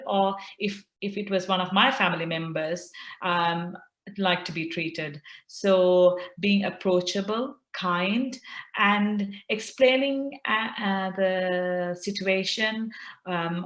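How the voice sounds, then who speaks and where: A middle-aged woman from Sri Lanka, a consultant doctor, answering interview questions earnestly with many pauses and fillers. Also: medium pitch, a bit bright, reverberant. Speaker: consultant obstetrician and gynaecologist.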